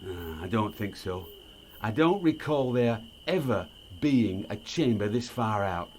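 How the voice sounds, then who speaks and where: Gruff British ex-miner, animated grumbler. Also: male voice, fairly low pitch, measured pace, slight background noise. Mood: wary. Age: middle-aged. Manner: blunt, colloquial, grumbling. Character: gruff, grumbling middle-aged ex-miner, wary and lonely. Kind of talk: naturalistic audio drama acting.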